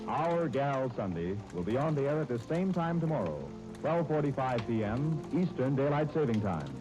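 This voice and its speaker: Lively 1930s radio announcer, male. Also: mid-range voice, normal speed, over loud music, rough recording. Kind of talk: 1930s radio announcing, scripted narration and sponsor copy.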